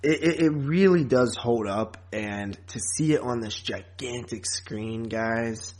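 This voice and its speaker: A man's voice: mid-range voice, slow pace, a little mellow. A man chatting casually and with a fan's enthusiasm as a podcast co-host about horror films.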